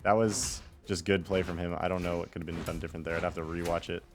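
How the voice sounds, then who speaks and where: A man's voice: deep voice, flat delivery, fast talker, noisy background. A man giving casual, off-the-cuff commentary on his own game replay in a rambling, wry and self-deprecating way.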